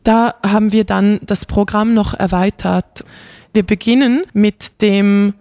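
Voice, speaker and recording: A woman speaks as an interview guest in a casual, reflective way. Her voice sits at an average pitch for a woman. She sounds enthusiastic and often searches for the right word as she goes. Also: steady tone, slow pace, warm tone. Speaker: interview guest, classical singer.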